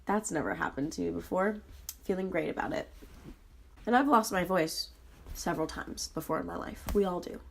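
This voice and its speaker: A young woman in her twenties, hoarse and sick, talking earnestly about her struggles in a personal vlog, with frequent pauses and ums. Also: on the low side, slight background noise. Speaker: vlogger.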